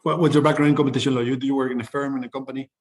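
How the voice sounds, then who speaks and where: Conversational male instructor, mid-pitched. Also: little pitch movement, racing. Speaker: course instructor. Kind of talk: informal online class talk, directing students. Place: online video class.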